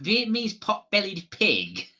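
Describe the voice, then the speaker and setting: Casual podcast panelist with a bright, forceful edge, rough texture and hugely swinging pitch. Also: wildly swooping pitch, a little slow. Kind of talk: casual chat.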